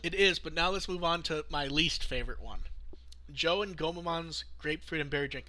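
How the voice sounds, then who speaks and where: Bright-voiced man hosting a podcast. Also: fairly high pitch, a little fast. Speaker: podcast host. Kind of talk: casual podcast discussion reading out news.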